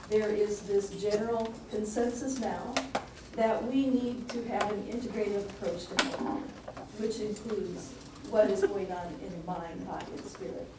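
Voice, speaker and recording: A woman in her sixties with a soft voice, telling a personal story in a casual, conversational talk to a church audience. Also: mid-range voice. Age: 60s. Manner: conversational, personal anecdotes with filler "um". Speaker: nurse practitioner speaking as guest presenter. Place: church hall. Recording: echoey hall from far off, background noise.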